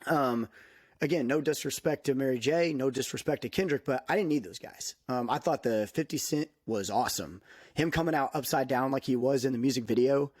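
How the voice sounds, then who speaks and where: A man's voice: mid-range voice, brisk, darkish tone, no echo, clean studio sound. A man in his thirties chatting casually as a podcast co-host, upbeat and full of fillers. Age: 30s.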